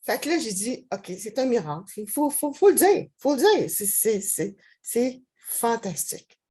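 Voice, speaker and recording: A woman with a Québécois accent gives a lively, rambling personal testimonial over a video call, full of restarts. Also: a little high, moderate pace, slightly rough. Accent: Québécois French. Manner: animated storyteller, rambling with many restarts. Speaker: customer giving a testimonial.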